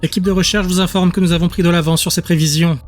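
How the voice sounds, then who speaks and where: A man's voice: high-pitched, fairly even intonation, quick pace, soft background music, pitched above the performer's own voice, natural voice. A man voicing a formal, deferential female subordinate who reports to her master in an audio drama. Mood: businesslike.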